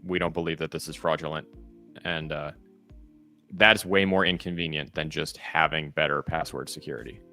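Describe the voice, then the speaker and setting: A man co-hosting a morning podcast in casual chat, with a fairly monotone voice, giving practical advice with lots of ums and you-knows. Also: extremely low pitch, normal speed, soft background music. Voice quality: relatively monotone voice. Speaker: co-host.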